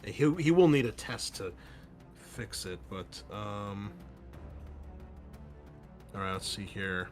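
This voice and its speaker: A man running a tabletop game as its game master and host, easygoing and quick to laugh, often pausing with ums. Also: on the low side, expressive, music in the background.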